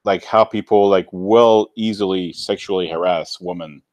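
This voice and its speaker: A man from Canada with a deep voice, talking casually as an interview guest in a rambling, informal way peppered with "like". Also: fairly even intonation, moderate pace, a little mellow, dry recording. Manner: rambling, informal, filler-heavy with many "like"s. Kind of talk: casual chat, personal storytelling.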